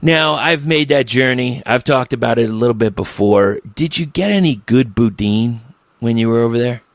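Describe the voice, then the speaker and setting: A man's voice: medium pitch, moderate pace, dry recording. Rambling man hosting a podcast, dry sound. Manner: rambling and loose, casual swearing. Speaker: podcast host. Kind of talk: casual podcast interview.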